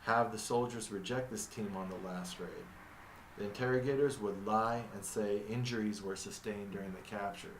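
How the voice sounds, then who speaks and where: A man whose first language is Arabic, thinking aloud in a reflective monologue, halting and restarting as he reads from notes. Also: fairly low pitch, fairly even intonation, recorded from a distance, slight background noise. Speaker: vlogger narrating his own story. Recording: echoey room, mic set back.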